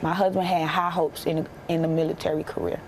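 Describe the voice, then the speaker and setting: Low, even-voiced young American woman, halting. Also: slight background noise, rough recording. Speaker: interviewee, bereaved military widow. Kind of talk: emotional personal account in a broadcast interview.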